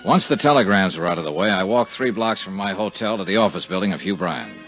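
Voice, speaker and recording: A man's voice: low-pitched, animated, fast, a bit bright. A man with an American accent acting as a dry, clipped, matter-of-fact insurance investigator who narrates a 1950s radio detective drama. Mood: crisp, businesslike. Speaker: lead actor and narrator. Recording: muffled vintage radio recording with music.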